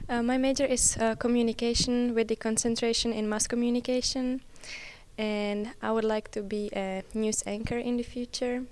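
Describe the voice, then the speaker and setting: College athlete interviewee, female, early twenties, Czech accent, smooth clean voice, level intonation. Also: fairly high pitch, level tone, moderate pace, a little mellow. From Czech Republic. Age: early 20s. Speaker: college tennis player being interviewed. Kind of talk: interview answers.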